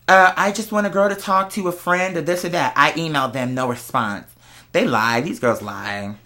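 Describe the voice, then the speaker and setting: A man's voice: fairly high pitch, pushed and bright. A young American man talks casually and candidly in a chatty monologue, with a sassy, slangy, emphatic manner and dramatic asides. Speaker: vlogger.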